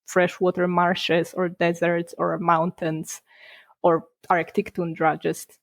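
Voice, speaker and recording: A young woman from Poland, a game designer, talking casually in a podcast interview, chatty and quick to laugh. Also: lowish voice, completely flat delivery, no room sound. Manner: chatty, laughs between points, restarts words.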